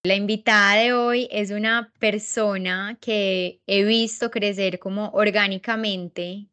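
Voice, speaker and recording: A woman's voice: medium pitch, fairly bright tone. A woman with a Paisa accent hosting a podcast, reading a scripted introduction and welcoming her guest. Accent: Colombian Paisa. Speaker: podcast host. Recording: clear music under voice.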